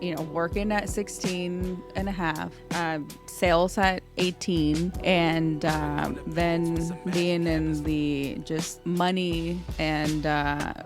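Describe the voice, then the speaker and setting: A woman's voice: on the low side, flat delivery, slow pace, hardly any pauses, over music. A woman born in Mexico, with Spanish as her first language, speaking as a podcast guest in a thoughtful, casual way with fillers and restarts. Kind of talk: casual interview talk.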